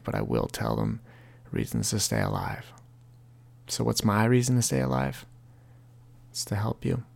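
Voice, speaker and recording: A man's voice: fairly low pitch, vocal fry. A man in his twenties hosting a podcast, talking earnestly and confessionally and reading from his own book. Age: 20s. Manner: earnest, confessional, heavy language. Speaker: podcast host.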